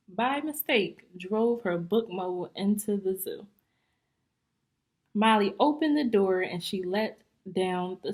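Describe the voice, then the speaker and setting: A woman reads a picture book to her students as a teacher would. Her pitch sits in the middle and her pace is very unhurried. She is deliberate and instructive, explaining and questioning as she goes. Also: darkish tone. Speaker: teacher reading aloud to students.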